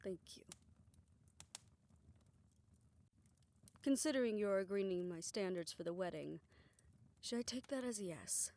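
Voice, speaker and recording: A woman playing a tender, self-assured older woman in a romantic audio roleplay, speaking in a reflective, gently formal way. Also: medium pitch, animated, normal speed, close to an everyday voice. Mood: earnest, hopeful. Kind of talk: romantic audio roleplay, intimate scripted monologue.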